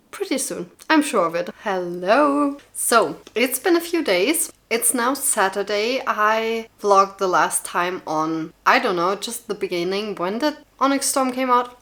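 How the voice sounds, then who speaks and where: A young woman talks straight to camera in a casual vlog, her voice still touched by a lingering cold. She gushes with excitement and leans on plenty of fillers as she chats. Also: mid-range voice. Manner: gushing and excitable, with frequent fillers. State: recovering from a cold. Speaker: book vlogger.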